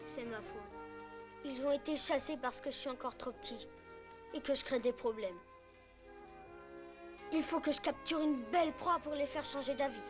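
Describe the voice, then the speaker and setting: A woman's voice: high-pitched, moderate pace, long pauses, close to an everyday voice. A woman voicing a stubborn, earnest little jungle boy in a dubbed cartoon. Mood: hurt, determined. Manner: pleading, insistent, earnest. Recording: muffled cartoon soundtrack with music.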